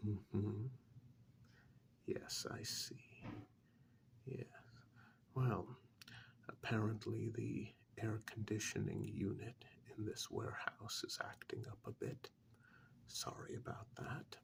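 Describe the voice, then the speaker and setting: Soft, whispery, slow-paced accountant played by a man for ASMR role-play: polite, earnest, easily flustered, fussy and apologetic. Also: on the low side, sing-song, creaky, full character voice. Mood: polite, attentive. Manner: hesitant, fussy, apologetic, repeats himself. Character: polite, flustered, earnest tax accountant interviewing monster clients.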